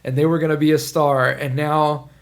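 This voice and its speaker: A man's voice: a little high, little pitch movement, moderate pace. A man hosting a podcast, chatting casually and encouraging his guest with frequent agreement. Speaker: podcast host.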